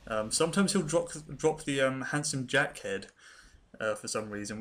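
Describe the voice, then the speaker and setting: A man's voice: mid-range voice, a bit bright. A British man giving casual, unscripted game showcase commentary in an informal, hesitant and understated way. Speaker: gaming video creator and host.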